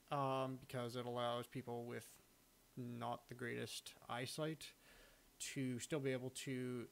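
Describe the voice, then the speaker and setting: A man giving a casual, unscripted screen-recording walkthrough of his own software, halting as he thinks aloud. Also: medium pitch. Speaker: software hobbyist demonstrating own add-on. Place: indoors, at a computer recording the screen.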